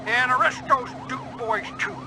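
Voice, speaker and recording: An actor playing an excitable, bossy sheriff in a video game. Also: male voice, extremely high pitch, moderate pace, full character voice. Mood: urgent, bossy. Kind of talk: scripted video game acting. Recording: loud siren and car noise.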